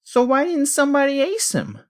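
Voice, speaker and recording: Woman voicing a cocky boy with wildly swinging pitch. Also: huge pitch swings, moderate pace. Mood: skeptical. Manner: sneering, slangy. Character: cocky, impatient, mouthy young street boy. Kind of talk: comic dub character acting.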